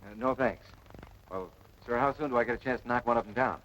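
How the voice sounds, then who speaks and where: An actor playing a green, deferential young pilot answering in clipped, respectful phrases in a 1940s military training film. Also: male voice, medium pitch, fast, fairly bright tone, lightly acted. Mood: keen. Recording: dull noisy vintage film soundtrack.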